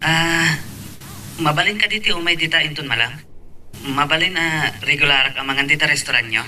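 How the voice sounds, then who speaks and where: Actor as bright-voiced friendly young Ilocano suitor. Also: male voice, fairly high pitch, moderate pace. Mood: friendly, teasing. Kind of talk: Ilocano audio drama acting. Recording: distant, noisy with ambience.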